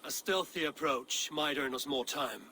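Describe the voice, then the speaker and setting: An actor playing a grave, level-headed Viking war leader in a video game, speaking in a measured, commanding way. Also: male voice, on the high side, sharp tone, a little hiss or hum. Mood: firm. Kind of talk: dramatic video game acting.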